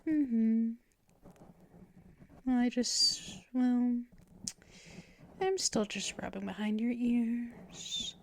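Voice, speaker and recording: A woman voicing a possessive female vampire mistress in an ASMR roleplay, talking in soft, teasing whispers up close to the mic. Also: fairly high pitch. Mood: soothing. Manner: slow, drawn-out delivery.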